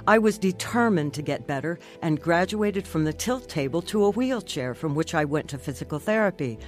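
A woman's voice: on the low side, lively intonation, moderate pace, lightly acted. A determined woman tells her own story as played by an actress in a radio drama. Her first-person narration is scripted and reflective, with faint music underneath. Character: determined woman recounting her recovery from a stroke.

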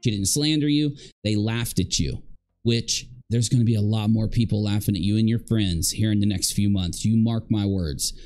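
A man's voice: on the low side, darkish tone. A man in his thirties giving casual, sarcastic and emphatic live commentary as a stream host. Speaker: livestream host. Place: indoor room at home.

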